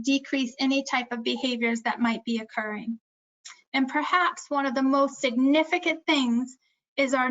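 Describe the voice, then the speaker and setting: A woman with a noticeably high, light voice walks through a caregiving lesson. Her delivery is earnest and instructive. The sound is slightly muffled and lo-fi. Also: a bit bright. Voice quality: high-pitched voice. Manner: earnest, instructive, self-aware about speaking fast. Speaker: memory care presenter. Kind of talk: scripted educational presentation.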